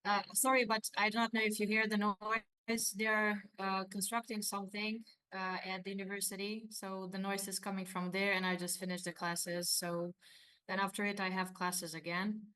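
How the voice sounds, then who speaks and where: A woman whose first language is Hungarian, giving a formal academic conference talk over a video call, halting often on fillers. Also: mid-range voice, little pitch movement, bright, forceful tone, rough recording. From Romania. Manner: hesitant, many fillers, formal phrasing. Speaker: conference presenter, associate professor. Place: university office, construction noise nearby.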